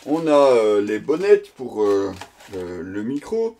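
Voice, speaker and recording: A man's voice: on the low side, sing-song, takes it slowly, warm tone, slight background noise. A man giving a casual, improvised product unboxing commentary, speaking conversationally and hesitantly as he searches for words. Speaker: product reviewer presenting an unboxing.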